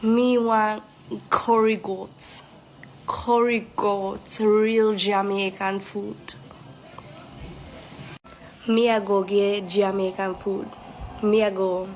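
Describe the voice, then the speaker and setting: A woman's voice: medium pitch, fairly even intonation, slow, a little hiss or hum, poor audio. A woman from Africa, talking in a casual selfie video, tentative and giggly, dragging out her words. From South Africa.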